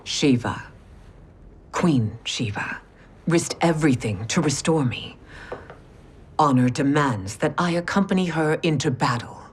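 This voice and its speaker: A woman's voice: low voice, lively intonation, unhurried, pauses often, a little hiss or hum. An actress playing a proud, ruthless warrior empress and scheming mother in a video game, speaking in a regal, formal manner. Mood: formal, gracious. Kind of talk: video game cutscene acting.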